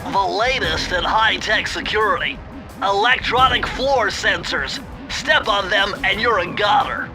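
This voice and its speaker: A man's voice: sits high, unhurried. A man voicing a brainy, fussy cartoon animal gadget expert, nasal and precise. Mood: explaining. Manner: precise, pedantic, nerdy. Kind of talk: video game cartoon voice acting. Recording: music playing behind.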